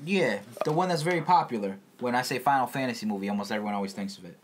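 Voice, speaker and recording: A man's voice: mid-range voice, very fast. A young man co-hosting a casual film chat, blunt and slangy, swearing freely. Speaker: podcast co-host. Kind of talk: casual banter about films.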